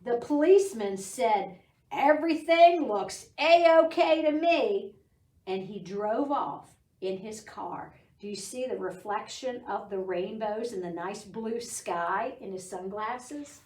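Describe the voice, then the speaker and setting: A middle-aged woman hosting a children's storytime, reading aloud and talking to young children in an animated, encouraging way. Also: mid-range voice, slow pace. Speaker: library storytime host. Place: small indoor library room. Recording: roomy echo, distant microphone.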